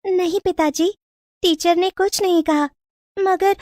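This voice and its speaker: A woman's voice: very high voice, fairly even intonation, takes it slowly, darkish tone, pitched above the performer's own voice. A woman voicing a timid, earnest young village girl in a plaintive, childlike manner for a storybook cartoon. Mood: upset, plaintive. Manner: plaintive, wide-eyed, childlike.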